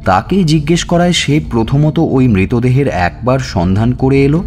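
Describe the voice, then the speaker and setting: A man narrating a scripted suspense story in a measured, expressive, dramatic way. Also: medium pitch. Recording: music bed under narration.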